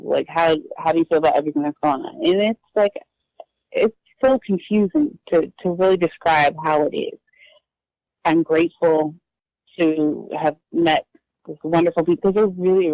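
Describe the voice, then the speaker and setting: A woman guest telling her adoption story in a personal interview, hesitant and halting, with frequent restarts. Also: sits low, gravelly, dry recording. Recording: dull lo-fi dry recording.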